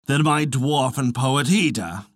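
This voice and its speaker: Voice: mid-range voice, takes it slowly, dry recording, clean studio sound. A storyteller voicing a hearty, amused king of old legend in stately, archaic speech. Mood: curious.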